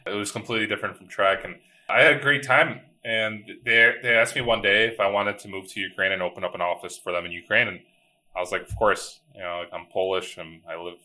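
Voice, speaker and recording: Man, 30s, bright tone, lowish pitch, relaxed, lots of "like". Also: quick pace, rough recording. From Chicago, USA. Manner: easygoing, frequent word repeats and "like" fillers. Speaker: company founder, guest. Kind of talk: casual storytelling interview. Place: remote call from home.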